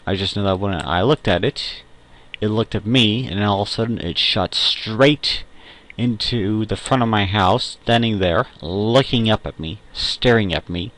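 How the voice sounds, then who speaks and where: A young adult man with a fairly low voice talks casually, as in a personal audio log. His delivery rambles and halts, with pauses as he gathers his words. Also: a bit bright.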